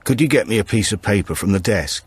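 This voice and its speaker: A man voicing a shrewd elderly man who gives calm, terse, matter-of-fact instructions in an audiobook. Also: on the low side, fast, breathy edge, dry recording, pitched above the performer's own voice.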